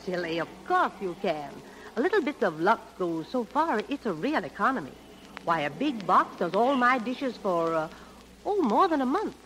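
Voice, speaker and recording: Cheery, lilting housewife. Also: female voice, medium pitch, very animated, normal speed, a bit bright, rough recording. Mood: cheerful. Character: cheery, helpful housewife praising her soap. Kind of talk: 1930s radio commercial acting. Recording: old radio recording, faint noise.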